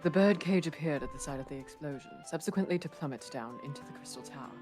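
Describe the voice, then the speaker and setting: A woman voicing an official who lays out the facts in a loose put-on Spanish-Italian accent, measured and explanatory. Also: low voice, over music, natural voice. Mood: explaining.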